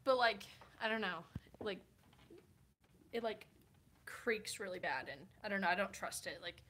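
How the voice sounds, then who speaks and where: Young woman, early twenties, bright voice, chatty rambling livestreamer, laptop mic in a hotel room. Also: mid-range voice, measured pace, a little hiss or hum. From Kansas, USA. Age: 20s. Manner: chatty, rambling, many "like" fillers, laughs often. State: tired. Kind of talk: casual livestream chat reading comments.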